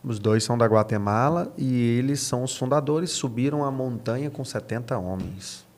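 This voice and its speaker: A Brazilian man with a mid-pitched voice talks as a guest pastor on a podcast. He teaches in a conversational, explanatory way, raising questions, answering them himself and restating his main points. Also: normal speed, a little mellow. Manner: explanatory, asks and answers own questions, repeats key phrases.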